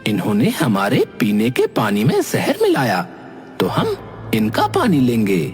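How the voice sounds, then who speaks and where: A man's voice: mid-range voice, huge pitch swings, measured pace. A man voicing an indignant cartoon ghost who lectures assertively in defence of forest animals. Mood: determined. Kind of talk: Hindi cartoon voice acting. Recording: long hall reverb, faint music behind.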